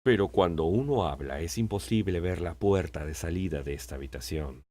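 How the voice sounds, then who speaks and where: A man playing a wise, patient old king in an audiobook, speaking in a measured, sententious way. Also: low voice, warm tone. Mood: patient, explaining. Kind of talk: audiobook character acting.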